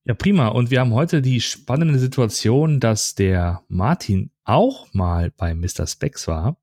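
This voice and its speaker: A man hosts a tech podcast, greeting his guests and moving the talk along in an upbeat, friendly tone. His voice is dark and mellow. The recording sounds close and dry, with no room around it. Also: medium pitch, lively intonation, measured pace, dry recording. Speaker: podcast host. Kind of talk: podcast hosting.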